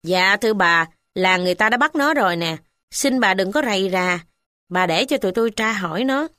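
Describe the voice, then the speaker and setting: A woman's voice: mid-range voice, animated, brisk, pushed and bright, dry recording, studio quality. A woman voicing a deferential male village official trying to keep order. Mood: placating. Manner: placating, deferential.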